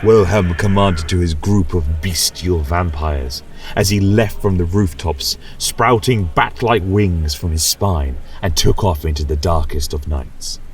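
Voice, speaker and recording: A man playing a lecturer of magic, telling a dark fantasy history in solemn, dramatic storytelling. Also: low voice, distant mic, slight background noise.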